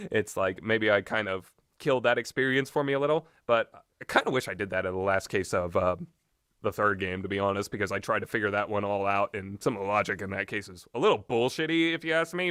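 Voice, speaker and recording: A man's voice: fairly low pitch, brisk, a bit bright, smooth, no echo, clean studio sound. A man giving casual, unscripted game commentary, opinionated and mildly profane, often backtracking on himself as he goes. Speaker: video game reviewer.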